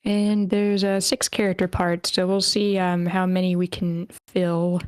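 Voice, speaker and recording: A woman's voice: on the low side, flat delivery, a little slow, clean tone, no room sound. A woman casually hosting an online script table read, with a playful manner.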